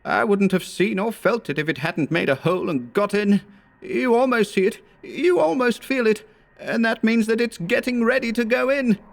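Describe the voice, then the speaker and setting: Horror story: a terrified young man, shrill and sobbing, very high pitched. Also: moderate pace, gravelly. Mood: terrified babbling. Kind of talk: dramatic horror story reading.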